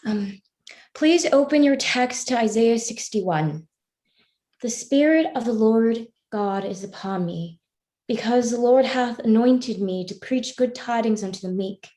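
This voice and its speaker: A woman's voice: a little high, a little slow, dark tone, lightly acted. A woman playing an earnest, devout young woman, speaking formally in a live staged play reading. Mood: earnest, solemn. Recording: roomy, echoing voice pickup.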